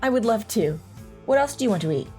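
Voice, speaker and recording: A woman's voice: on the high side, expressive, fast, a little mellow, lightly acted. A woman playing a loving, teasing mother in an audio drama, both telling the story and talking with her child. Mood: warm, agreeable. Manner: reassuring, teasing. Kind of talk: audio drama narration and dialogue. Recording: music under the voice. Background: music.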